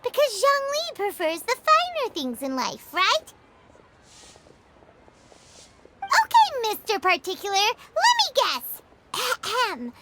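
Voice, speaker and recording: Casting for a childlike game fairy: very high, squeaky, highly animated, excitable and cheeky. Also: female voice, a little slow, very noisy, exaggerated voice. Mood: teasing. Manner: refers to self in third person, exclamatory, cheeky. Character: tiny excitable childlike fairy companion, female, gluttonous. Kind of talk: video game voice acting.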